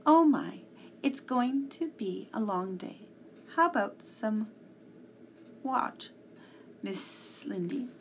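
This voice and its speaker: A woman voicing a sly, falsely friendly male cat in a storybook, with breathy, coaxing politeness. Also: fairly high pitch, animated, slow pace, long pauses, slight background noise. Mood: languid.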